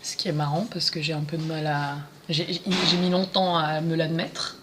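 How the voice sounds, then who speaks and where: Hesitant young woman, low voice. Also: fairly even intonation, moderate pace, background noise. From France, Paris suburbs. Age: 20s. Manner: hesitant, many fillers and restarts. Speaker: interview guest. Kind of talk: casual conversational interview.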